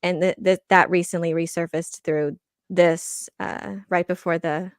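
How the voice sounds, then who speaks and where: A woman on a podcast panel, explaining things casually in a halting way with restarted phrases. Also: fairly low pitch, flat delivery, clean tone, dry recording, studio quality. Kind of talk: casual discussion.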